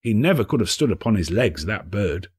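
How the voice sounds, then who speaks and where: A man narrating a classic story as an audiobook, with theatrical, measured storytelling. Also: fairly low pitch, sing-song, slightly rough. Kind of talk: expressive literary narration.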